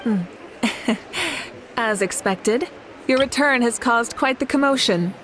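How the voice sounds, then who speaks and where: A woman's voice: on the high side, slight background noise. A composed female fire goddess. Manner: calm, polite, measured. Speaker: leader. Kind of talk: video game cutscene dialogue. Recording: game audio with faint music.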